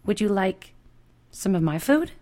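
A woman voicing a nervous, grateful young woman who speaks tentatively and haltingly, close to tears, in an audiobook. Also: mid-range voice, sing-song, mellow, voice pushed up.

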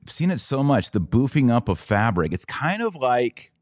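A man's voice: medium pitch, big pitch swings, normal speed, dry recording. A man hosting a podcast, explaining things to his online audience in a didactic but informal way, with casual asides. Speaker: podcast host and commentator.

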